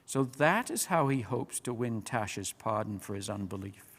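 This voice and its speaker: A man's voice: lowish voice, expressive, normal speed, a bit bright, pitched below the performer's own voice. A man as a shrewd, musing eagle. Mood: knowing. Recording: long hall-like reverb.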